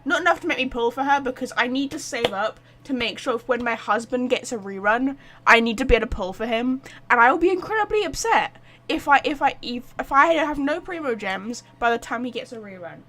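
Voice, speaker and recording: A woman chatting casually and excitably as she livestreams a game, rambling to her viewers. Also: on the high side, fairly quick. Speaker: live streamer. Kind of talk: casual game stream chat.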